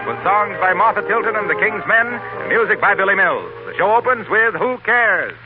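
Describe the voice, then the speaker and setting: A man's voice: high-pitched, big pitch swings, normal speed, loud music behind the voice. A man with an American accent announcing in polished, declamatory 1940s radio style. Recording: tinny 1940s radio recording.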